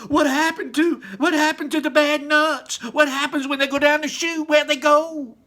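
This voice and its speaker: A man's voice: very high voice, fairly even intonation, moderate pace, bright, forceful tone, pitched above the performer's own voice. A man voicing a doting, panicky rich mother who shrieks frantically while reading a storybook aloud. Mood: panicked.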